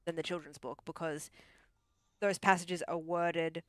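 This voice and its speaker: A woman's voice: on the low side, little pitch movement, measured pace, a bit bright, dry recording, clean studio sound. A woman chatting casually on a gaming livestream, thinking aloud as she talks herself through choices. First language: English. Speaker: streamer, therapist answering questions.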